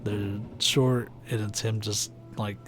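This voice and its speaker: A young man with a soft, soothing voice, chatting casually and rambling while he plays a video game on stream. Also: lowish voice, slow pace, music in the background. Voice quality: soft, soothing, sleepy-sounding voice. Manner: rambling, laid-back, many "like"s and "uh"s. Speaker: let's play streamer. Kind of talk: casual commentary while gaming. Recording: steady background noise hiss.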